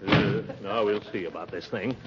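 An American actor playing a flustered, pompous but good-hearted middle-aged uncle in a 1940s radio comedy. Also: male voice, fairly low pitch, normal speed, natural voice. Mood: troubled, earnest. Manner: theatrical, flustered, hemming. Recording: muffled, noisy old radio recording.

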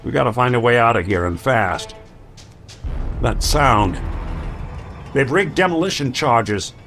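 An actor playing a gruff, loyal detective in a radio drama, speaking urgently and with emphasis. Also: male voice, mid-range voice, animated, a little slow, fairly bright tone, soft background music. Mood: desperate concern.

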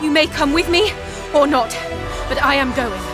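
A woman's voice: high voice, moderate pace, lightly acted. An actress playing a devout, resolute teenage peasant girl who leads an army, in an earnest audio drama style. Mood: urgent, commanding. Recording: dramatic music behind the voice.

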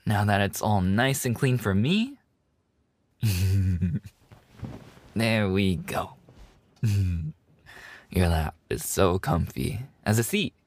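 A man's voice: on the low side, lively intonation, extremely slow, pauses often, no echo, heavily acted. A man voicing a playful, affectionate animal-boy maid café server in an ASMR roleplay, teasing and giggly in a cutesy way.

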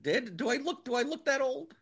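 A man's voice: very high-pitched, very animated, brisk, fairly bright tone, slightly rough. A man in his forties hosting a casual livestream chat, wry and grumbling, often repeating himself. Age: 40s. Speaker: livestream host.

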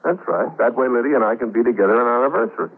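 Husky calculating husband, old radio. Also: male voice, mid-range voice, animated, rapid-fire. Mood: smoothly persuasive. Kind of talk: old-time radio drama acting. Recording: muffled, narrow-band vintage radio recording.

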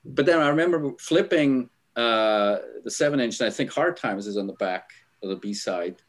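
A man's voice: mid-range voice, lively intonation, moderate pace. A middle-aged man from Switzerland, a guest on a podcast, telling stories in a rambling way with lots of fillers and small laughs. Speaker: guest, album art director. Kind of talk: casual interview storytelling.

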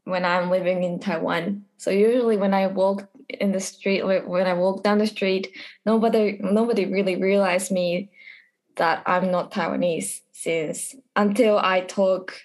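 A woman's voice: medium pitch, steady tone, a little slow, darkish tone. A young adult woman from Japan, an international university student, answering interview questions in a reflective, searching way.

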